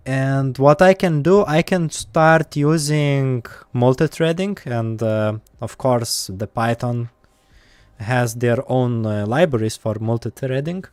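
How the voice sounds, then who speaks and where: A man with an Eastern European accent, live-streaming while coding and thinking aloud, with many pauses and fillers. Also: medium pitch, a little mellow, clean tone, no echo.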